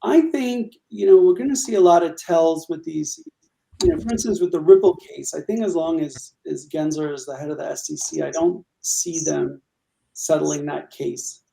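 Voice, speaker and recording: A man's voice: a little high, normal speed, vocal fry, mellow, poor audio. A man co-hosting a crypto panel, giving opinionated market views and repeating "I think". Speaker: co-host, market commentator. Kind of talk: panel discussion.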